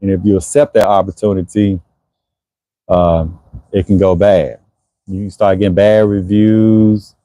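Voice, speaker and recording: A man in his forties talks in a relaxed, conversational way, answering viewers' comments during a livestream. His voice is mellow and unhurried, recorded close and dry. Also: sits low, no room sound, poor audio. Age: 40s. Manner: laid-back, conversational, frequent "you know what I'm saying". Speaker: HVAC business owner hosting a livestream. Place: Houston area.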